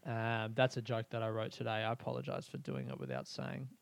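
A man's voice: fairly low pitch, fairly quick, vocal fry, no room sound. A man hosting a podcast, rambling through a casual comedic monologue and swearing freely while he laughs at his own jokes. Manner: crude, swears a lot, laughs often; animated, rambling storytelling. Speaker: podcast host.

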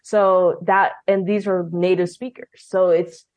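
A woman's voice: lowish voice, little pitch movement, measured pace, darkish tone. Young woman, guest in a casual interview, filler-heavy and conversational. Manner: chatty, many "like" and "um" fillers. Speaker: guest, language program leader.

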